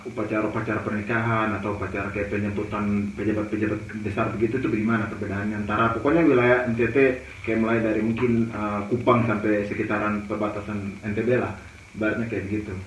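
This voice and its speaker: A man's voice: fairly low pitch, level tone, fast talker. A man from Papua with an eastern Indonesian accent, hosting a casual interview. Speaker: host. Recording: muffled, roomy, distant mic, noisy.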